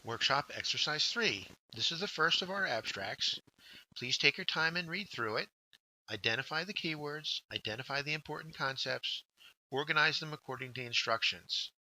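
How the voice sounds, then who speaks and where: A man's voice: fairly high pitch, animated, normal speed, sharp tone, rough recording. A man giving scripted instructional narration as a workshop instructor, guiding learners step by step and addressing them directly.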